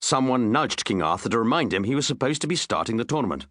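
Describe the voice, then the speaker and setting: A man's voice: medium pitch, big pitch swings, fast, fairly bright tone, no room sound. A man narrating a comic children's story with dry, wry asides. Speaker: audiobook narrator.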